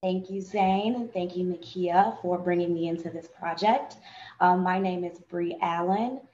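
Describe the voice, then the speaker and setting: Woman, hesitant with fillers, low flat voice, echoey room. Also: measured pace, slight background noise. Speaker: insurance broker panelist. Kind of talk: casual self-introduction. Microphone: webcam video call. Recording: roomy, dull-sounding webcam audio.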